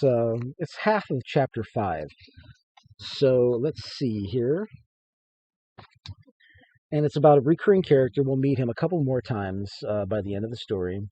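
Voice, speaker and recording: A man's voice: mid-range voice, mellow, lo-fi sound. A man giving an informal author's reading of his book, with casual, hesitant asides.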